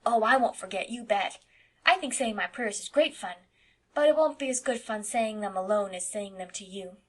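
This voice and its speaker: A woman's voice: normal speed, lightly acted. A woman voicing a mischievous eight-year-old farm boy who speaks bluntly and insistently. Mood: defiant, chattering. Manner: high, loud and insistent childlike delivery.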